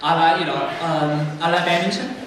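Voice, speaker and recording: Young guy, student candidate, lots of ums, voice a bit high, big echoey hall. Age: young adult. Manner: halting, many fillers. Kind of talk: candidate answering questions. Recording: echoey hall, distant mic, noisy.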